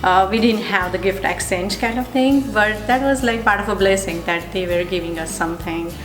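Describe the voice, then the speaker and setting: A woman's voice: medium pitch, moderate pace. A woman in her thirties from India, speaking with an Indian accent. She is being interviewed and talks in a hesitant way, with many fillers and restarts. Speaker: interviewee, physical therapist. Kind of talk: conversational interview. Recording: echoey room with background music.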